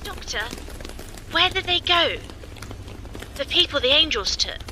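Woman acting plucky sci-fi companion, high bright voice, wry, anxious, faint noise behind. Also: big pitch swings, a little slow, heavily acted. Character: plucky, questioning female time-traveller companion. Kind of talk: amateur audio drama acting. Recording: faint background ambience noise.

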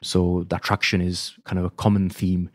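A UK-raised fund manager explains his career path in a measured, steady manner. His voice is very deep and nearly flat in pitch, and the recording is dry. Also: no room sound. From United Kingdom. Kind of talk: casual interview answer.

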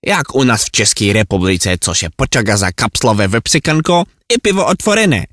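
A man's voice: on the low side, expressive, moderate pace, fairly bright tone, dry recording, studio quality. A man playing a hapless, exasperated Czech lost in Poland, ranting in a comic monologue with a Czech accent. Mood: exasperated, bewildered. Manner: flustered ranting in garbled mock Czech-Polish.

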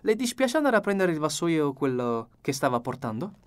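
A man's voice: on the high side, very animated, normal speed, clear, resonant tone, voice pushed up. A man voicing a fussy, methodical little foreign detective in an audiobook, with theatrical courtesy and precision. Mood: courteous, eager. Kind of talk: audiobook character reading.

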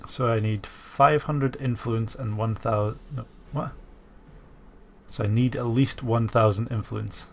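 A man's voice: mid-range voice, fairly even intonation, unhurried, a little hiss or hum. A man with a stuffy cold, musing aloud with fillers as he hosts strategy game commentary, with keyboard typing behind him. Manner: musing aloud while playing, many fillers. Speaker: gaming channel host.

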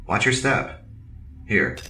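An actor playing a dutiful male officer in a space drama, speaking in a measured, formal, matter-of-fact way. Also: on the low side, big pitch swings, bright, forceful tone, recorded from a distance, slight background noise, full character voice. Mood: calm, concerned. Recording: off-mic with faint music behind.

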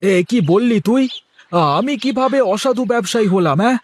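A man's voice: very high-pitched, a little fast. A man voicing a plain-living village vegetable seller in a cartoon, wheedling and defensive, full of colloquial interjections. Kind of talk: cartoon character dialogue.

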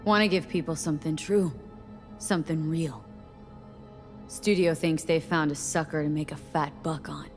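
An actress playing a tough young mercenary woman in a video game, talking in clipped, casual, steady phrases. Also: deep voice, moderate pace, lightly acted. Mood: firm, encouraging. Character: tough, blunt young female mercenary, plain-spoken and steady. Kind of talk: video game dialogue acting. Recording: music behind the voice.

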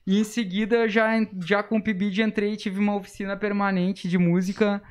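A young man from a podcast guest chair talks in a southern Brazilian accent. His delivery is colloquial and animated, like someone recounting old memories among friends. He speaks through a face mask into a close studio mic. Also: high voice, fairly quick, clean tone. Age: young adult. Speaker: podcast guest, musician and music teacher. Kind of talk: casual interview storytelling. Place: small dark podcast studio. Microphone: close studio microphone on arm, speaking through face mask.

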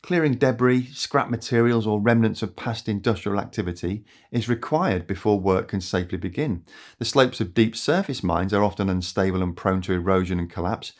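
A man in his fifties, hosting a scripted explainer to camera in a small home studio, measured and earnest. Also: on the low side. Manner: measured, earnest and articulate, addressing viewers directly. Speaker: host of an educational climate and energy channel. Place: small home studio with shelves and lamps, indoors.

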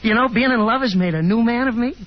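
An actor playing an affable young gentleman caller in a 1950s radio drama, earnest and awkward, stammering as he speaks. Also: very high voice, fast talker, a little hiss or hum. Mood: awkward, apologetic. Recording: dull 1950s radio broadcast, music behind.

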